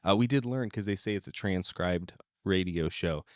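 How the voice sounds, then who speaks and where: A man's voice: sits low, moderate pace, no room sound, studio quality. A man co-hosting a podcast, talking casually and without a script. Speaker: podcast co-host. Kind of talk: casual, unscripted podcast chat.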